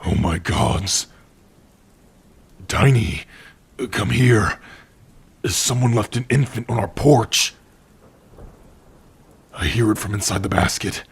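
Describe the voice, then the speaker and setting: A man voicing a gentle, protective orc in an ASMR roleplay, speaking softly and haltingly to his partner in a husky, breathy voice. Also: on the low side, exaggerated voice. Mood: startled, concerned. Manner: soft, halting, hushed, intimate.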